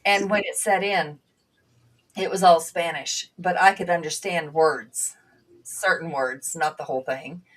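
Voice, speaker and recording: A woman whose first language was Bulgarian, a panel guest and former nursing assistant, speaking earnestly and reflectively with halting restarts. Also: sits low, fairly even intonation, distant mic. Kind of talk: conversational panel talk.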